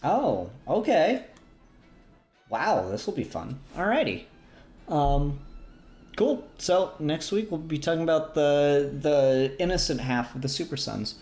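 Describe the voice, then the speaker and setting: Lively-pitched male podcaster in an echoey room. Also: unhurried, soft background music. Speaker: podcast host. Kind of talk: casual chat.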